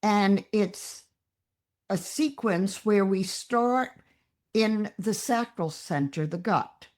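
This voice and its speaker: A woman psychologist talking as a guest, explaining ideas in a measured, teaching manner. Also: medium pitch, a little mellow.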